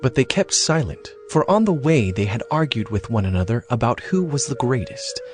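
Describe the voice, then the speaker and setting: A man's voice: mid-range voice, very animated, normal speed, music in the background. A man narrating scripture from a script as the storyteller of a dramatized audio reading.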